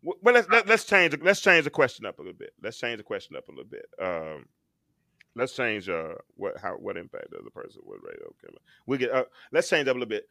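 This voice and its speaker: Male talk host with a bright, lively voice and a dry close sound; chatty, repeats and restarts phrases, a bit of bass underneath. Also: fast, gravelly, no room sound. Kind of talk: casual chat.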